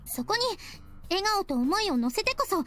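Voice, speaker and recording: A woman voicing a bright, bossy young idol girl in a visual novel, earnest and scolding in a perky, emphatic way. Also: extremely high pitch, very animated, fast, exaggerated voice. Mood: stern, earnest. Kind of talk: Japanese visual novel voice acting. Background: game music.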